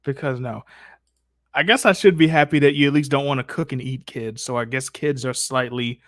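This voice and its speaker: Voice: mid-range voice, moderate pace, no room sound. Informal host for live audience questions, mid-range voice, slangy and repetitive, dry sound. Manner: informal, slangy, repeats phrases. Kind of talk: casual live Q&A chat.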